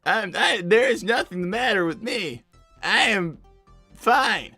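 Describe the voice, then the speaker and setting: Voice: mid-range voice, animated, unhurried, bright, forceful tone, breathy edge, no echo, soft background music, full character voice. A performer voicing a flustered, defensive young male fox in improvised live roleplay, stammering and protesting in a whiny way. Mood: sheepish, stammering. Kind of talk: live improvised character roleplay, reading donor messages.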